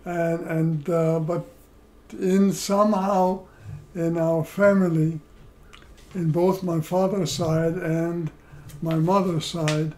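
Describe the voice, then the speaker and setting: An older man from Poland, seated at home, telling his life story in a slow, measured way with many pauses, recorded by a camera across the room. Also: fairly high pitch, little pitch movement, a little mellow, slight background noise. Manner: slow, measured, pausing between phrases. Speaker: interviewee. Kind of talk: oral history storytelling. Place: living room, indoors.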